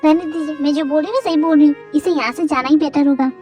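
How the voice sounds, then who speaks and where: A sharp-tongued middle-aged mother-in-law, voiced by a woman who scolds in a high, dismissive tone. She is contemptuous and impatient, with faint music behind her. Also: fairly even intonation, fast, close to an everyday voice. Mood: scornful.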